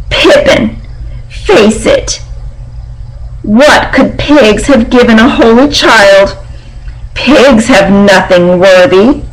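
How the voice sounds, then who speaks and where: A woman's voice: a little high, drawn-out words, long pauses, rough recording. A woman voicing a self-important, dismissive female barn animal in a storybook, boastful and condescending. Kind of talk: storybook character reading.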